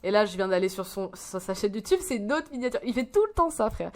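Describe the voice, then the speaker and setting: A woman's voice: medium pitch, expressive, quick pace, darkish tone. A woman in her twenties, rambling and swearing as she reacts to a video in casual slang. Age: 20s.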